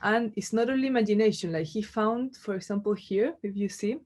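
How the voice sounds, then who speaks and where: Female artist-lecturer, Chilean accent, native Spanish speaker, mid-pitched voice, informal and halting, thinking aloud through a slide talk. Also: darkish tone. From Chile, Santiago. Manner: halting, conversational, frequent "like" and "you know". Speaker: visual artist giving a lecture.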